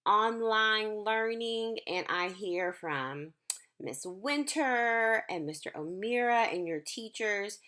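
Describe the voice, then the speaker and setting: A woman speaks to young children as a school counselor doing a read-aloud. Her pace is slow and deliberate, and her mid-pitched voice moves up and down in a very lively way. She sounds reassuring and encouraging, both when reading and when adding her own explanations. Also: smooth.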